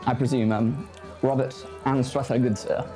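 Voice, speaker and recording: A British actor playing a stiff, proud upper-class Victorian gentleman with a formal, clipped manner. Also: medium pitch, fairly even intonation, normal speed, music in the background, natural voice. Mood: haughty. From United Kingdom.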